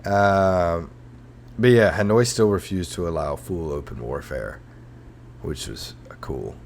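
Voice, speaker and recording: Man, very low voice, sarcastic, rambling, comedic history podcast host. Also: slow, vocal fry, a little mellow, slight background noise. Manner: sarcastic, rambling, self-mocking.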